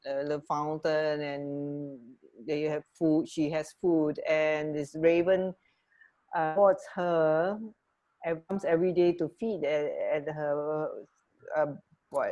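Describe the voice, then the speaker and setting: A woman's voice: deep voice, mellow, highs cut off. A woman co-hosting a radio show in casual, unscripted chat, feeling her way to words as she talks. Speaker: radio co-host.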